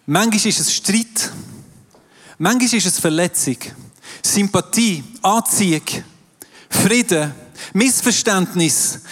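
A man's voice: high-pitched, fairly bright tone. Male pastor, Swiss German accent, French his first language, emphatic sermon delivery, long hall reverb. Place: large hall with audience. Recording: distant voice in a reverberant hall with crowd.

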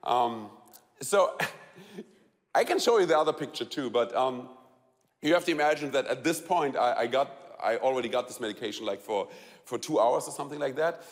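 A man's voice: mid-range voice, expressive. German-accented man, echoing hall. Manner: halting, repeats words, laughs often, quizzes the audience. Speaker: speaker telling a personal story. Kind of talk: live storytelling talk to an audience.

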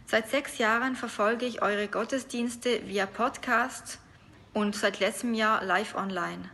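Bright woman, grateful testimony. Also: fairly high pitch, fairly even intonation, slow. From Switzerland. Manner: grateful, friendly, enthusiastic. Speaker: congregation member. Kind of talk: recorded video testimony.

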